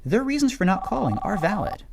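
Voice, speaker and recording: A man's voice: sits high, very animated, a little fast, gravelly, background noise. A man reading a scripted explainer narration in a playful storytelling way, with emphatic stresses. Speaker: narrator of a teacher training video.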